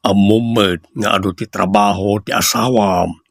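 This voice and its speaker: Man playing a smooth-talking evasive husband, Filipino accent, gravelly husky voice, lively intonation, dry close sound, radio comedy. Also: lowish voice, normal speed, no echo. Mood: defensive, placating. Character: evasive cheating husband and boss, placating. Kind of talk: radio comedy drama acting.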